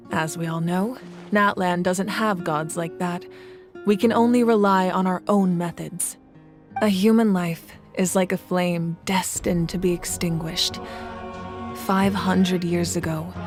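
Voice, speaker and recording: A woman playing a composed, grave ruler in a video game, speaking in measured, deliberate lines. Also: lowish voice, lightly acted. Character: solemn, composed woman war-god ruler of a nation. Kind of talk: video game voice acting, dramatic exposition. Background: game music.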